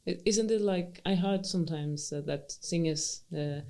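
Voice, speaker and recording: A woman's voice: lowish voice, unhurried, mellow. A woman from Sweden, a cello teacher hosting a podcast, speaks English as a second language, with light fillers and supportive short replies. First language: Swedish. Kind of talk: casual interview conversation.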